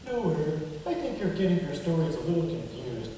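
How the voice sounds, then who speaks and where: A man playing a kindly, patient male puppet in a children's church show, explaining things gently and earnestly. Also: a little high, very animated, fairly quick. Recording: echoey hall from far off, faint noise.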